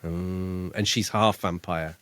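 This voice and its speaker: A man with a fairly low voice talks casually as a podcast co-host. He thinks aloud and hedges his points as he goes, and the sound is dry, with little room around it. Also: fairly even intonation, takes it slowly, fairly bright tone, clear, resonant tone, dry recording. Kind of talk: casual book discussion chat.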